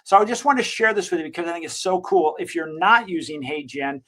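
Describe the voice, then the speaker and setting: A business owner with a slightly higher-pitched voice than he expects, casually walking through a software demo with lots of fillers and self-corrections. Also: animated, quick pace. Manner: enthusiastic, rambling, frequent self-corrections and fillers. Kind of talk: casual screen-share tutorial.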